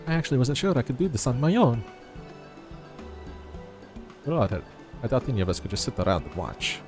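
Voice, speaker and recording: Fast-talking male game streamer. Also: medium pitch, big pitch swings, darkish tone. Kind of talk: reading game dialogue aloud and casual stream chat. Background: game music.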